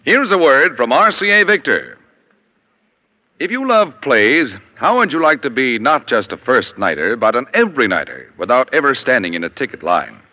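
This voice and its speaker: A man's voice: medium pitch, very animated, normal speed, sharp tone, faint music behind. A man reading a sponsor's message as an announcer in 1950s radio style. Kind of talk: 1950s radio advertising read. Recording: dull vintage radio recording.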